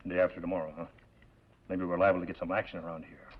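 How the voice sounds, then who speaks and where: Low, fast-talking hot-headed outlaw. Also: male voice, little pitch movement, breathy edge. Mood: impatient. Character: hot-headed, bitter young outlaw gunman seeking revenge. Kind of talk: 1950s television western acting. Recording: dull, hissy old television soundtrack.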